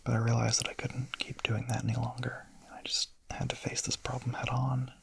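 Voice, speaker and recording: Man acting as gentle young male vampire, breathy whisper, gravelly fry, very flat intonation, hesitant, soothing ASMR roleplay. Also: medium pitch, brisk, full character voice. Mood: quiet confessing. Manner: hushed, soothing, hesitant intimate murmur. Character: shy, gentle young male vampire, smitten misfit confessing.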